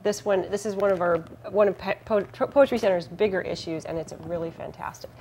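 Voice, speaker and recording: A middle-aged woman hosting a TV studio interview with a lapel mic, warm and encouraging, with frequent affirmations. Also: medium pitch, brisk, slight background noise, rough recording. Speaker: host. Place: small TV studio set.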